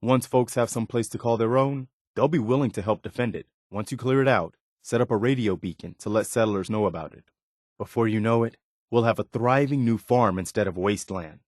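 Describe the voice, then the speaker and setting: A man's voice: fairly low pitch, brisk, a little mellow, no echo, studio quality, natural voice. A man playing an earnest, loyal militia officer in a video game, speaking sincerely and plainly with a sense of duty. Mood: earnest, steady. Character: earnest, steadfast militia officer, loyal adult male companion. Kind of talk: acted video game dialogue.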